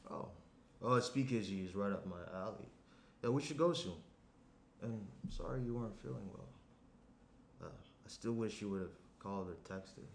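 Low-voiced, breezy young businessman, played by a man. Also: normal speed, pauses often. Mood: breezy, probing. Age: young adult. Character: self-absorbed young businessman fiancé, casually complaining. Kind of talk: screenplay table read. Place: small room at a table. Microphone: shared tabletop microphone. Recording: roomy indoor sound, clear background noise.

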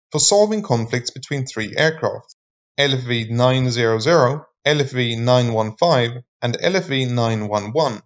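Male narrator for technical explainer, mid-pitched, measured and formal, slightly muffled lo-fi recording.

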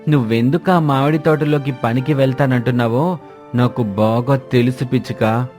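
A man as a stubborn cartoon crow husband, mid-pitched. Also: fairly even intonation, normal speed, darkish tone, lightly acted. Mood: suspicious, accusing. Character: suspicious, stubborn crow husband who gives in. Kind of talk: dramatized moral story cartoon dialogue. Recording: music bed behind voice.

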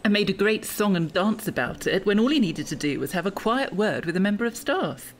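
A woman's voice: medium pitch, lively intonation, fairly quick, slight background noise, natural voice. A woman acting as a prickly, guarded museum official in an audio drama, sarcastic and condescending. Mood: curt, irritated.

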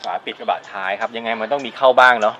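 A man's voice: on the low side, racing, lo-fi sound, over a noisy crowd. A man, explaining a product demonstration in a casual way. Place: outdoors in a driveway. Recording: clear outdoor background noise.

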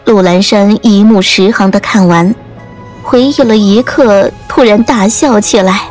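A woman's voice: medium pitch, unhurried, a little mellow. Woman narrating a story over music. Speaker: audiobook narrator. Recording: music under the voice.

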